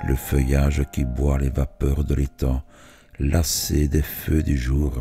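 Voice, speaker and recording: A man reciting literary poetry in a measured way, phrase by phrase with pauses between them. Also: a bass voice, gravelly, warm tone. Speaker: poetry reader.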